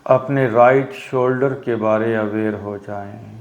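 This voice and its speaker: A man teaching guided meditation, giving instructions in short phrases with long pauses between them. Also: fairly low pitch, steady tone, gravelly, darkish tone, lo-fi sound. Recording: roomy echo on the voice.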